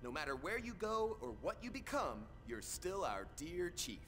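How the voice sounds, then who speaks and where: A loyal, friendly male lab colleague in a game cutscene. His voice is fairly high and animated, with faint noise behind it. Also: normal speed. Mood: friendly, teasing. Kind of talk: English game cutscene acting.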